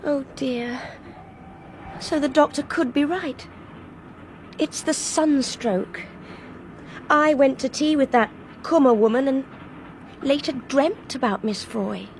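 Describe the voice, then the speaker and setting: A woman's voice: high-pitched, slow, long pauses. An actress playing an anxious but stubborn young Englishwoman on a train, in a British radio drama. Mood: deflated, doubtful. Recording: noisy train background ambience.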